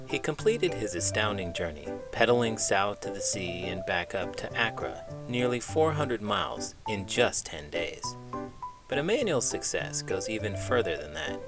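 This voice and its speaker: A man reading a children's book aloud in an expressive, emphatic, measured narrating style. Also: fairly low pitch. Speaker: children's book reader, teacher.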